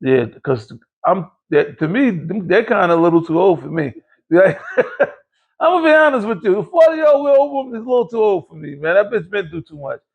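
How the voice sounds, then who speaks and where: A man's voice: high voice, big pitch swings, fairly quick, creaky, lo-fi sound. A man in his late forties hosting a podcast, telling a long, rambling, profane story in casual chat. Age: 40s. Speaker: podcast host.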